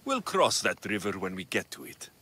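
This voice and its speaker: An actor playing a tough, self-assured Greek mercenary in a video game, with a blunt, dry, confident delivery. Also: male voice, lowish voice, huge pitch swings, moderate pace, bright, forceful tone, a little hiss or hum, full character voice. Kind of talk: dramatic video game cutscene acting.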